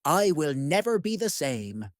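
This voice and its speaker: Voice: mid-range voice, very animated, unhurried, no echo, clean studio sound, voice pushed down. A storyteller voicing a disgruntled, melodramatic male pet cat from a picture book, in a gloomy, theatrical manner.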